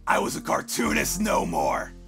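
A man playing a gruff, cantankerous old great-uncle and con man in a cartoon comic dub, blustering in a gravelly voice. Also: normal speed, over music, natural voice. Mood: wistful, aggrieved. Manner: blustering, loud.

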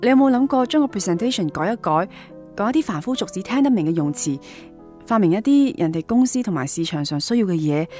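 Young wife and mother played by an actress, practical and emotional, lively intonation, radio drama. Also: mid-range voice, quick pace, soft background music, lightly acted. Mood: soothing, coaxing. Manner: earnest, reasoning, emotional. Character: practical wife and mother, worried about family finances.

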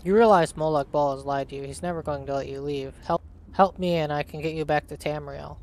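A man's voice: on the high side, fairly even intonation, brisk. A man hosting a let's play, reading his character's dialogue aloud and narrating as he plays.

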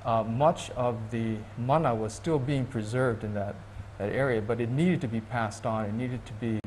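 A man from Hawaii, a guest in an interview, speaking thoughtfully and haltingly with many restarts. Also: medium pitch, darkish tone, slight background noise, low-quality recording. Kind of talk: reflective interview talk.

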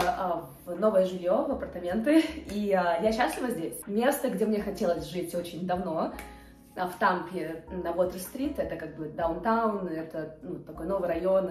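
A woman of twenty-eight talking casually to the camera from her living room couch, hesitant and full of fillers. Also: on the low side. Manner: chatty, hesitant with many fillers, gestures with hands. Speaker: vlogger. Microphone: camera a few feet away. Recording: roomy sound with faint background music.

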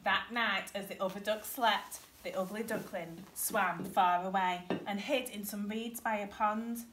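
A woman's voice: medium pitch, fairly even intonation, unhurried, a bit bright. A woman teacher reads a children's story aloud in a classroom. She tells it expressively, picked up by a camera a short distance away with faint background noise. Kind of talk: expressive picture-book storytelling. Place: primary school classroom, indoors.

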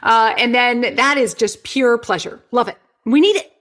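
A woman in her forties, a well-being researcher talking as a guest over a video call on a headset, explaining ideas in an animated, expressive way. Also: on the high side, normal speed. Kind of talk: enthusiastic conversational interview. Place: home living room.

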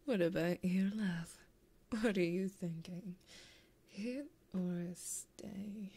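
A seductive demon woman played by a woman whispers in an ASMR roleplay, right up at a binaural microphone. Her voice is soft and full of breath, and she draws her words out extremely slowly. She is flirty and possessive, taunting the listener with intimate teasing. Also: lowish voice, husky. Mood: teasing, playful. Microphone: close binaural microphone.

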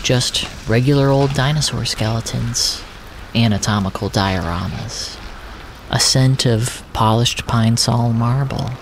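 A man narrating surreal first-person audio fiction in a deliberate, descriptive way, often in short fragments. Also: medium pitch, creaky, slight background noise.

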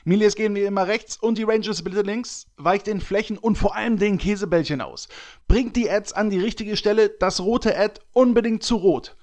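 Guy playing pissed off raid leader in a gamer audio skit, high creaky voice, swears and berates everyone. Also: normal speed, darkish tone. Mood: commanding, focused. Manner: ranting, foul-mouthed, commanding. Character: furious, berating male raid leader in an online game. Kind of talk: hobby comedy audio drama.

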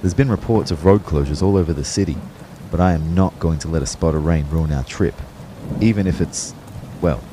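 Low-voiced wry young narrator played by a man. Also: a little fast, background noise. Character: wry young personal trainer narrating his life. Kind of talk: first-person audio drama narration.

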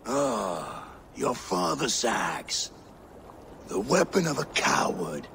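A man's voice: lowish voice, very animated, creaky, pushed and bright, a little hiss or hum, full character voice. A male actor playing a cruel, gloating middle-aged Viking warlord in a video game, taunting with menace. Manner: menacing, taunting, drawn-out delivery. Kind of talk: dramatic video game acting.

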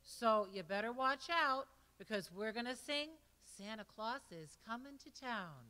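A woman's voice: a little high, expressive, measured pace, pushed and bright, long reverb tail. Woman hosting a children's holiday show.

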